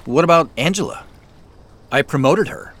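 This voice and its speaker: An actor playing a thoughtful, wry and slightly weary business leader in a naturalistic audio drama, speaking in a low-key, halting way. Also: male voice, lively intonation, fairly bright tone. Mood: wry, wary.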